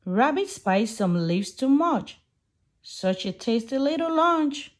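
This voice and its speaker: A woman reading a rhyming children's farm book aloud in a slow, gentle way. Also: high voice, big pitch swings. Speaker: storybook reader.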